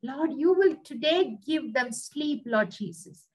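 A woman with a high voice prays aloud over a gathered crowd, pleading in repeated phrases. Her lines rise with urgency and then fall away into quiet, low refrains. The prayer is spontaneous and fervent. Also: expressive, unhurried. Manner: repetitive, ends phrases with soft low 'Lord Jesus'. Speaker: prayer minister.

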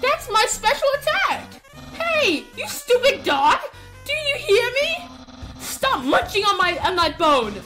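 Casting: boastful video game skeleton played by a woman, very high, theatrical, slow-paced delivery. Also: big pitch swings, over music, full character voice. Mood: indignant, dramatic. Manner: pompous, theatrical declarations. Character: boastful skeleton warrior, bumbling and dramatic. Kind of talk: video game dialogue voiced over.

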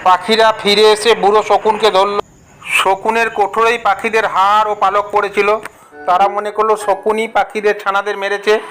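A man narrating a children's fable in a steady storytelling manner. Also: sits high, moderate pace, soft background music, rough recording.

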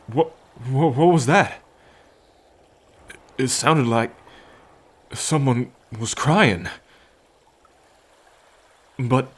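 A man playing a gentle, anxious lone fisherman in an ASMR roleplay, with a halting, reassuring manner. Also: medium pitch. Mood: startled concern.

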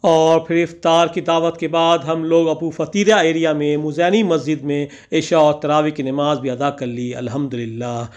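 A man's voice: fairly high pitch, little pitch movement, moderate pace, hardly any pauses, a little mellow. A man narrating a vlog as a scripted voice-over, with ornate, poetic and theatrical phrasing. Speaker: vlogger narrating.

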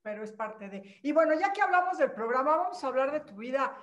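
A middle-aged woman hosts the interview over a video call, friendly and teasing as she asks questions. Her voice is clearly high, and she fills pauses with quick short replies. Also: sing-song. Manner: friendly, teasing, frequent backchannel. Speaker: interviewer, host. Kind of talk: casual interview. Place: home room with bookshelves.

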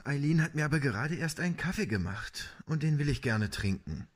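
An actor playing a reluctant, sarcastic police officer with a husky voice in a radio play. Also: male voice, on the high side, expressive, fairly quick. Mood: reluctant, grumbling.

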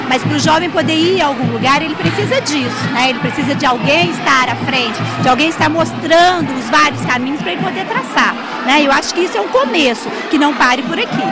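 Emphatic woman interviewed in a loud crowd. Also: sits high, rough recording. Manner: emphatic, asks rhetorical questions, many "né?" tags. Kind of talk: street interview. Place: crowded school hall. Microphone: handheld TV mic held by reporter.

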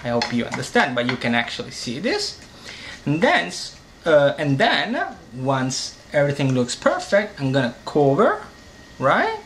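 Sicilian-accented male cooking host, forties, slow and halting, slightly high voice, roomy echo with faint hiss. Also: wildly swooping pitch, a bit bright. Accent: Sicilian Italian. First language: Italian. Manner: unhurried, hesitant with many fillers, relishing tastes. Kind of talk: casual cooking demonstration. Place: home dining room, indoors.

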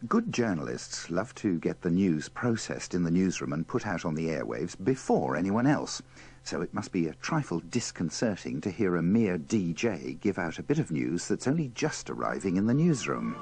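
A man's voice: low voice, sing-song, normal speed, gravelly, a little mellow, breathy edge. A man presenting scripted links for a radio compilation in a dry, tongue-in-cheek manner. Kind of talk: wry scripted linking narration.

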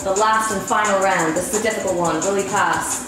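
A woman's voice: mid-range voice, fairly quick. A woman in her twenties chatting casually and playfully as she co-hosts a livestream, with a teasing manner. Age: 20s. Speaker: co-host of a cooking livestream. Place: indoor home kitchen. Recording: echoey kitchen with music.

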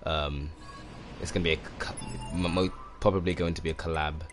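Deep-voiced, soft-spoken young man. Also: a little fast. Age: 20s. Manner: soft-spoken, relaxed, rambling with many fillers. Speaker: game streamer. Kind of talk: casual gameplay commentary. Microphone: condenser microphone. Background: game music.

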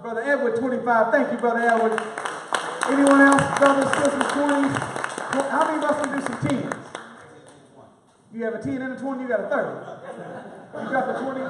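A young man with a very high voice works the crowd in a big echoing hall, calling names and dollar amounts in a rhythmic, preacher-like drive. He speaks, heard from far off over a murmuring audience. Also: fairly even intonation, a little fast, pauses often, background noise. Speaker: minister leading a fundraising appeal. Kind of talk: fundraising call from the pulpit. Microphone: handheld microphone recorded from a far camera. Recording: echoey hall audio from far off.

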